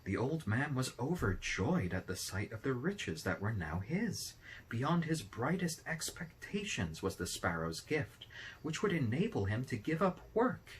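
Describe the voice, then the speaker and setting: A man reading a fairy tale aloud as an expressive narrator. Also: mid-range voice, unhurried, a little hiss or hum, poor audio. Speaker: storybook reader.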